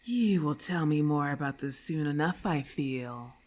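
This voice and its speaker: A woman voicing a haughty, predatory female lamia in ASMR roleplay, speaking in a deliberate, imperious and condescending manner. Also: low voice, animated, a little mellow. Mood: probing, commanding.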